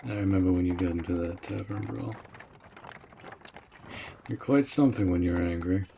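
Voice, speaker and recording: A man playing a protective, courteous orc general in a fantasy audio roleplay, speaking in a measured, formal, earnest way. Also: deep voice, gravelly, mellow, a little hiss or hum. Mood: gentle, earnest.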